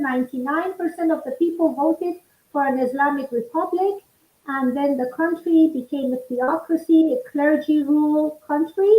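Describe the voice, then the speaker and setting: High-voiced woman in her sixties, roomy. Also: dull audio. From Iran. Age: 60s. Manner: measured, explanatory recounting. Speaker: author and guest. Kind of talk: interview answers.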